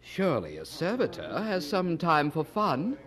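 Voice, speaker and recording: An actor playing a snobbish, thrill-seeking young Oxford gentleman in a radio drama, haughty and jaunty. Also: on the high side, huge pitch swings, a little slow, crowd noise. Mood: sly, teasing.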